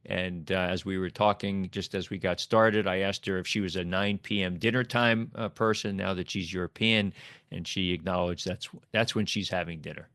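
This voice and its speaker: A man's voice: low voice, fairly even intonation, normal speed, a bit bright, dry recording, studio quality. A man hosting a podcast interview, asking questions in an easygoing way with many fillers. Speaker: podcast host.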